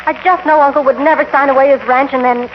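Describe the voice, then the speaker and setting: A woman's voice: high voice, steady tone, quick pace, natural voice. A woman acting an earnest, anxious young American ranch woman in a 1950s radio western. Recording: tinny, muffled, hissy old radio broadcast.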